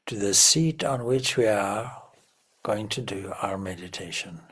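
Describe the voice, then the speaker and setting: A man leading a guided meditation as a teacher, giving gentle, measured instructions with long pauses between phrases. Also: fairly low pitch, husky.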